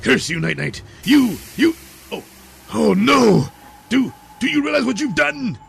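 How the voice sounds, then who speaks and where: A man's voice: very high voice, very animated, unhurried, gravelly, fairly bright tone, husky, pitched above the performer's own voice, full character voice. A man voicing a gloating mad-scientist villain in a comic audio play, with a theatrical manner. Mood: panicked. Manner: gloating, theatrical, panics into shrieks. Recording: noisy home recording.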